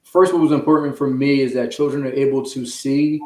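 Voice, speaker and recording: A man's voice: medium pitch, steady tone, brisk, darkish tone. A man from the United States answering interview questions as a guest author, conversational, earnest and explanatory. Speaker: guest, children's author and sports journalist. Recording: echoey room sound.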